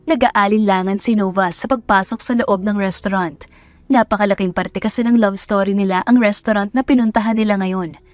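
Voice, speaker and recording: A woman's voice: mid-range voice, a little mellow, no room sound. A woman with a Filipino accent narrating a romance story as a dramatic scripted storyteller.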